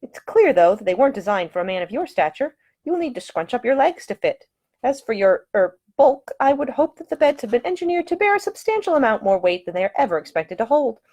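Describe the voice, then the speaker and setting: Woman reader as earnest young lady, brisk, prim, technically minded, audiobook romance dialogue. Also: fairly high pitch, sing-song, darkish tone, pitched above the performer's own voice.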